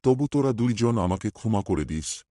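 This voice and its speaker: A man's voice: on the low side, animated, normal speed, dark tone, no echo, studio quality. A man playing a remorseful older moneylender in a cartoon, pleading and confessing. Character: remorseful, humbled older male moneylender landlord. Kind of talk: cartoon voice acting.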